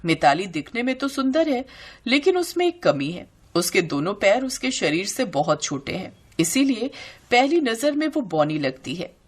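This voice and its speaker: A woman's voice: medium pitch, sing-song, normal speed, slightly rough. A woman delivering scripted story narration as the storyteller.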